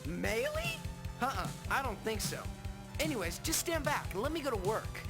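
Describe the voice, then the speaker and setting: A woman voicing a cocky, goofy young male rascal in a video game, slangy, breezy and excitable. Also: fairly high pitch, moderate pace. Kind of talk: exaggerated video game cartoon acting. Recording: game music under the voice.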